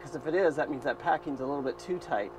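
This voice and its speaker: A man's voice: fairly high pitch, fast talker, slight background noise. A man in his fifties walking through a boat repair in a cramped engine room, talking plainly as he works. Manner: plain practical talk with fillers, thinking aloud while working. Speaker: boat owner vlogger. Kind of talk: hands-on repair walkthrough. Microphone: action camera mounted in the engine room. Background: running boat engine.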